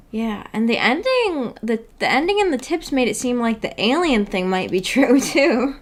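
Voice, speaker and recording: A woman hosting a casual livestream discussion, musing tentatively out loud with a giggly manner. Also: a little high. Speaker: host of a book club stream.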